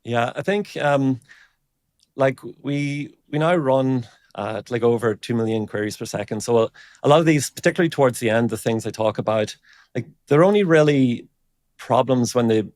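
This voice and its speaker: A man giving an informal technical explanation as an interview guest, thinking aloud in a halting way. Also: mid-range voice, darkish tone, no echo. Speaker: engineering director, interview guest.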